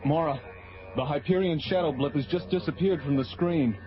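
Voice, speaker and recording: A man's voice: a little high, normal speed, a crowd in the background, lightly acted. An actor playing an earnest male communications technician on a space station in a radio drama, talking plainly. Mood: worried. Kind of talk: science fiction radio drama acting. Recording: dull old radio drama recording.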